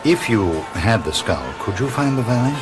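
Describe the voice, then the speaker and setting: Very low-voiced, skeptical professor. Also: male voice, very animated, normal speed, over loud music. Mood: formal, insistent. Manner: pointed, formal, dismissive. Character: skeptical, probing male scientist, a professor. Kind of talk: audio drama acting. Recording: loud festive street noise behind.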